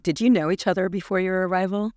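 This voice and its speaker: Woman podcast co-host, measured delivery. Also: lowish voice, darkish tone, dry recording, clean studio sound. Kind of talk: podcast hosting.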